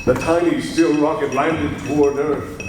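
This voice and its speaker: A man playing an earnest, grave newspaper reporter telling a secret story with deliberate drama in an old-time radio serial. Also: on the high side, fairly even intonation, natural voice. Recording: echoey, distant mic with faint noise.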